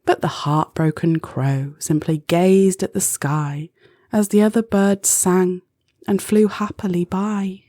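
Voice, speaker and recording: A woman narrating a rhyming children's storybook expressively, with deliberate, dramatic phrasing. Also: on the low side, steady tone, mellow, no room sound, clean studio sound.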